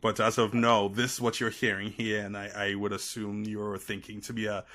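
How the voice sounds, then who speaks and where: A man with a Trinidadian accent tinged with American, telling stories as a podcast guest in an animated, rambling way. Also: lowish voice, normal speed. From Trinidad and Tobago. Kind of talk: casual chat.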